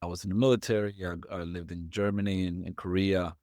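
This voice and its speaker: A middle-aged man from Puerto Rico, a podcast host, talking in a halting way and repeating words as he thinks. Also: low-pitched, little pitch movement, darkish tone, dry recording, clean studio sound. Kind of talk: conversational interview.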